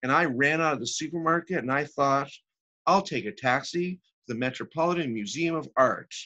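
A man's voice: on the high side, fairly quick, pushed and bright, highs cut off, pitched above the performer's own voice. A man acting a self-pitying, exasperated woman in her thirties in a rambling, emphatic comic stage monologue. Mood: indignant, frantic. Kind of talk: theatrical comic monologue reading.

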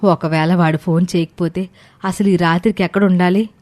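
A woman plays an older mother in a family tale. She is proud and calculating, and complains stubbornly in a fairly low voice. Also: fairly even intonation, moderate pace, a little mellow, lightly acted. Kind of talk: dramatised family moral story.

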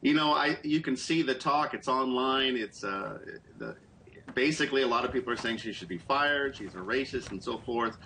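Bright-voiced man reporting over a video call. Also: medium pitch, a little hiss or hum, poor audio. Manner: measured, explanatory. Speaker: political reporter. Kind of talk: live news interview over video call. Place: small room, indoors. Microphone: video call webcam.

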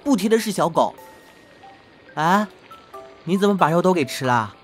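A man's voice: sits high, lively intonation, moderate pace, faint music behind. A young man playing an earnest, easily flustered male traffic officer in an audio drama, prone to stammering. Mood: indignant.

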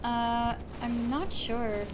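A woman's voice: on the high side, fairly even intonation, drawn-out words. A young adult woman chatting casually while streaming a game. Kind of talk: casual gaming chat. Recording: clear background noise, keyboard typing.

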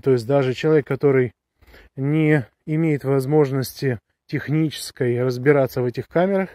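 A man talking casually to viewers while walking outdoors, explaining things with drawn-out hesitations. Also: medium pitch, dark tone, dry recording, studio quality. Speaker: vlogger reviewing a gadget. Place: outdoors on a woodland path, walking. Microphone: handheld camera or phone held close while walking.

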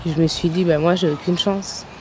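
A young adult woman from Paris, giving a slow, reflective personal testimony in an outdoor garden. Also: lowish voice, steady tone, mellow, slight background noise, rough recording. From Paris, France. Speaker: patient interviewee.

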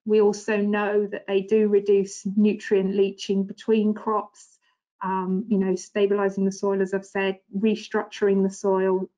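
A woman walks listeners through a slide on a webinar, her pitch sitting in the middle. She talks in an easygoing, thoughtful way, full of "you know" and "um", from a home office over a webcam. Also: monotone, a little slow, mellow, poor audio. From England. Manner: conversational, many fillers, thoughtful. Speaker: agronomist presenter. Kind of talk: webinar presentation. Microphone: webcam video call.

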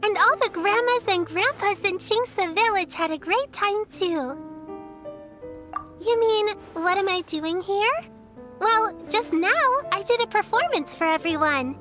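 A woman's voice: very high voice, expressive, unhurried, full character voice. An actress voicing a cheerful, eager little girl who chatters earnestly in game dialogue. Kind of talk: video game character dialogue. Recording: game audio with soft music behind.

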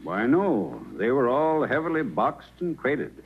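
An actor playing a hesitant, earnest male professor with a gravelly, husky voice, in 1940s radio style. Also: mid-range voice, sing-song, measured pace. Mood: earnest recollection. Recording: muffled old radio recording.

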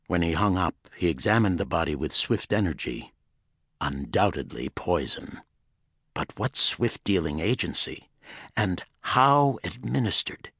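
Low, husky male thriller narrator. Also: fairly bright tone, no room sound, studio quality. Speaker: audiobook narrator. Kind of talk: dramatic pulp thriller narration.

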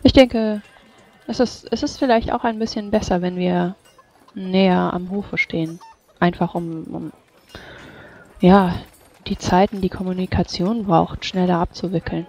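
A woman roleplaying in character at a fantasy tabletop game, in measured, formal phrasing. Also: fairly low pitch, fairly even intonation, darkish tone. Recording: dull, lo-fi voice chat.

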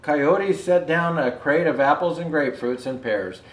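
An older man reading a children's picture book aloud as a storyteller, in an unhurried, expressive way. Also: fairly high pitch. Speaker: storyteller dressed as Santa Claus. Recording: roomy indoor echo.

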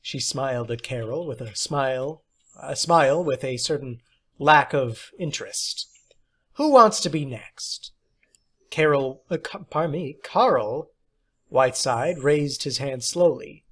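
Slow-paced man reading a short story. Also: mid-range voice, fairly bright tone, slightly rough. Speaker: audiobook reader. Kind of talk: scripted narration of a short story.

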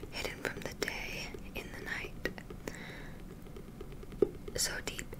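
A young woman whispering an ASMR roleplay as a witch teacher, gently encouraging and instructional, close to the microphone. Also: low-quality recording. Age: young adult. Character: friendly witch teaching spells and potions to a beginner.

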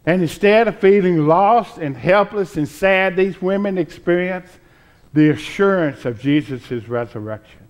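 Slow, deliberate older preacher man. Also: sits high, lively intonation. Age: 60s or older. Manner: deliberate, emphatic, with pauses between phrases. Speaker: pastor preaching. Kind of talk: Easter sermon from the pulpit. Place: church sanctuary, at a pulpit with choir behind.

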